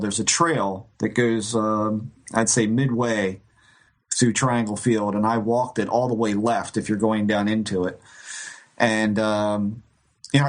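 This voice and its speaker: A man in a casual podcast chat, telling a long story at an ordinary pitch. He rambles and restarts his sentences, laughing now and then as he goes. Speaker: co-host.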